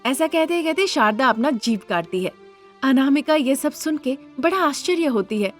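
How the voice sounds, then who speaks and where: Woman storyteller for cartoons, high voice, scripted narration, soft music behind. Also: animated, normal speed.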